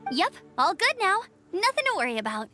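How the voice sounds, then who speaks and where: A woman playing a perky, inquisitive young female adventurer in a video game, with expressive delivery. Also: extremely high pitch, a little fast, soft background music, heavily acted. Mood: upbeat. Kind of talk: video game cutscene acting.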